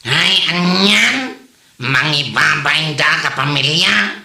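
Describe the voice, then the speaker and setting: Man acting a sharp, gravelly Ilocano husband. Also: fairly high pitch, expressive, takes it slowly, heavily acted. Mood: apologetic, ashamed. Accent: Filipino, Ilocano. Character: embarrassed, long-suffering village husband apologizing for relatives. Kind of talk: amateur comedy drama acting in Ilocano. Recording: roomy echo.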